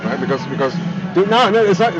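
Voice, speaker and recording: Mid-pitched man, chatty, lo-fi street audio. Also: lively intonation, fairly quick. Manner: chatty, many "like" fillers and restarts. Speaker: interviewee, Japanese learner. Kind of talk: casual street interview answers. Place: outdoors on a busy city street. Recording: lo-fi street audio with loud music.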